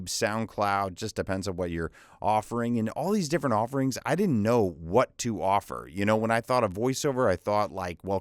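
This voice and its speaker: A man with a deep bass voice, talking viewers through freelancing tips in a loose, casual way with fillers and restarts. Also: no room sound, studio quality. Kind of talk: tutorial with screen walkthrough.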